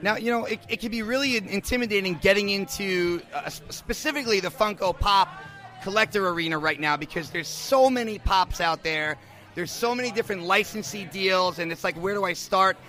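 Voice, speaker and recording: A man with a high, forceful, bright voice acts as a podcast correspondent in a bar. He interviews on a handheld recorder with an enthusiastic, informal delivery. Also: brisk. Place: bar in New York.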